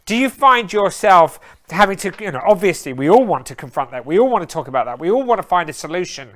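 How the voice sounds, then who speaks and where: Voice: medium pitch, very animated, fast, a bit bright. Enthusiastic interview host. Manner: enthusiastic, praising, rambling questions. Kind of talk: live online interview.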